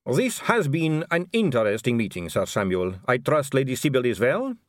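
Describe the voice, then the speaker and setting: A man voicing an aristocratic lady vampire in an audiobook, smooth, measured, knowing and condescending. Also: medium pitch, sing-song, no echo, clean studio sound, voice pushed up, close to an everyday voice. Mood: polite, brisk. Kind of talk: audiobook character dialogue.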